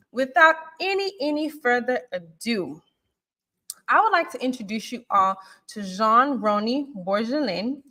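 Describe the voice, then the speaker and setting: Host, female, American accent, cheerful and talkative, crisp bright tone. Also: fairly high pitch. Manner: upbeat, chatty, laughs often. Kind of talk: online interview intro and chat.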